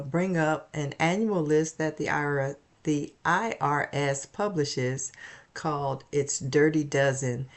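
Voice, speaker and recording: An estate planning attorney, raspy and stuffy from a cold, explaining things in a casual video with frequent ums and restarts. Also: mid-range voice. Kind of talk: informal explanatory video monologue.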